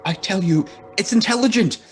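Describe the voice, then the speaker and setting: A woman playing a fearful, suspicious lab assistant with a husky voice in a radio play reading. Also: medium pitch, normal speed, a little hiss or hum, natural voice.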